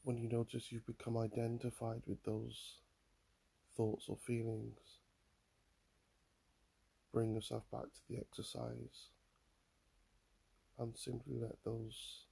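A man guiding a mindfulness meditation in a calm, soothing manner, leaving long pauses between phrases. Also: medium pitch, vocal fry, slightly rough. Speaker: mindfulness guide. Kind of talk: guided meditation, body scan.

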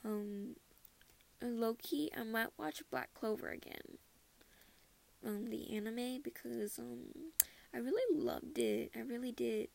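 Chatty teen girl with a gravelly edge. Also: fairly high pitch, slow pace, a little mellow. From Minnesota. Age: teens. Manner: rambling, laughs often, heavy use of "like". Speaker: podcast host. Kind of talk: casual personal chat.

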